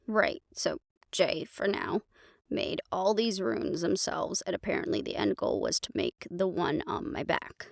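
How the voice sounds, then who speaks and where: A skeptical, cool-headed woman in a fantasy audio roleplay, put on by a female performer. She talks in a lowered voice with a dry, questioning delivery. The sound is close and echo-free. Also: big pitch swings, unhurried, gravelly, full character voice. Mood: probing.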